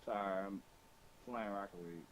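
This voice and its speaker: A man's voice: fairly low pitch, normal speed, rough recording. A man who joins friends on a call, teasing them and repeating himself. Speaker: friend joining the stream call. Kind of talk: casual chat on a voice call. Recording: loud game music behind the voice.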